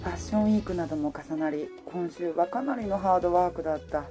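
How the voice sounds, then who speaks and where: 女性が演じる 恋に揺れる働く女性の語り. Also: female voice, lowish voice, quick pace, dark tone, faint music behind, close to an everyday voice. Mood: romantic. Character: romantic working woman, easily flustered, telling her own story. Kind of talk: radio drama dialogue and first-person narration.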